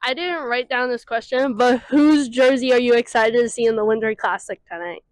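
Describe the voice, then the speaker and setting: A woman's voice: on the high side, moderate pace, no room sound, rough recording. A woman asking casual questions as an interviewer.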